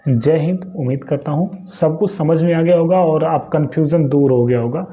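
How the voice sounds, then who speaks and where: A man's voice: a little high, moderate pace, warm tone. A man from hosting an exam coaching channel, explaining casually to his viewers, repeating phrases and checking that they follow. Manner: chatty, repeats phrases, asks "clear?". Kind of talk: casual explainer talk to camera.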